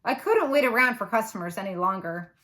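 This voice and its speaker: A woman's voice: mid-range voice, lively intonation, fairly quick, pushed and bright. A woman voicing an easygoing, affectionate father in a storybook. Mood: resigned, easygoing. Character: easygoing, affectionate father who drives a taco truck.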